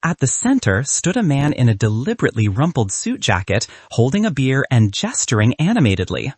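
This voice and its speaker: A man reading a scripted first-person story as an audiobook narrator, with an expressive, wry delivery. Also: medium pitch, brisk, husky, dry recording.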